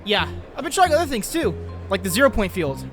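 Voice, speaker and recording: A man's voice: high voice, sing-song, a little fast, long reverb tail, over music. An actor playing an anxious, brooding, science-obsessed teenage boy in a comic dub, earnest and prone to questioning himself. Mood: eager, earnest. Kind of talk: acted comic dub with inner monologue.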